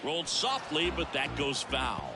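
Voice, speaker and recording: A brisk baseball play-by-play announcer in a sports game. His voice sits fairly high and swings animatedly as he calls the action, with very loud noise all around it. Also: wildly swooping pitch, gravelly, full character voice. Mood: engaged, descriptive.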